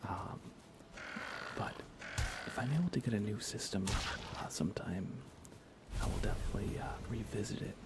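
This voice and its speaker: A man speaking in a soft, breathy whisper, giving unhurried, gently playful ASMR commentary while he plays a video game for viewers. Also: fairly low pitch, expressive, heavy background noise. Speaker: livestreamer playing a video game.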